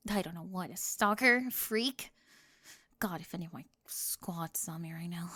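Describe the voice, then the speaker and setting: A woman voicing a flustered, defensive teenage cheerleader bully with a secret crush in an ASMR roleplay, halting and nervous, often dropping toward a whisper. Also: mid-range voice, dry recording, natural voice. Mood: nervous, pleading. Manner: halting, self-interrupting, nervous laughs, drops into whispers.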